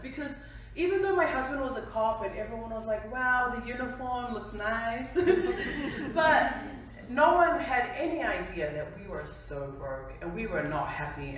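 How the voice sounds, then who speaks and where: A woman's voice: a little high, fairly bright tone, slight background noise. Woman, twenties, from New Zealand, chatty speech, distant, very echoey hall. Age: 20s. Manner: chatty, laughing, self-deprecating. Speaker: speaker at a company event. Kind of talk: personal story speech to an audience.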